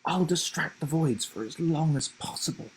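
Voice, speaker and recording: A man's voice: fairly high pitch, normal speed, a little hiss or hum, close to an everyday voice, low-quality recording. A man voicing an eager, earnest young trainee giraffe in a children's story. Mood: brave.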